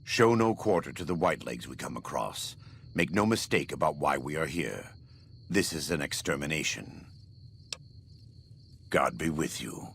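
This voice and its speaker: An actor playing a stern, vengeful warrior preacher in a video game, with solemn, deliberate biblical delivery. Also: male voice, extremely low pitch, lively intonation, gravelly, noisy background, exaggerated voice. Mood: cold, merciless.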